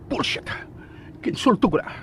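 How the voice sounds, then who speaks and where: A man's voice: moderate pace, sharp tone, slight background noise, lightly acted. A Filipino-accented actor playing an arrogant, impatient, short-tempered man in a radio drama, grumbling and snapping. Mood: angry.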